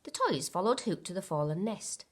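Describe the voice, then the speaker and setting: A woman's voice: lowish voice, very animated, normal speed. A woman reading a children's storybook aloud expressively, like a primary school teacher.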